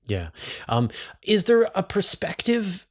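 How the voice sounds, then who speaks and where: A man's voice: high voice, huge pitch swings, takes it slowly. A man with a Canadian accent hosting a conversational interview, feeling his way through his questions and rephrasing as he goes. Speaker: interviewer and host.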